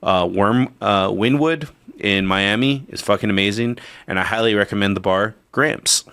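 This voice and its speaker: A man's voice: lowish voice, moderate pace, fairly bright tone, clean tone. A young man chatting casually as a podcast co-host, laughing often, swearing freely, and restarting his sentences. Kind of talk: casual chat.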